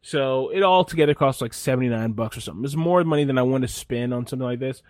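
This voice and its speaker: A man's voice: mid-range voice, fast, no room sound. Laid-back rambling man, dry close sound. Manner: rambling, laid-back storytelling with many fillers and restarts. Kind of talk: casual chat.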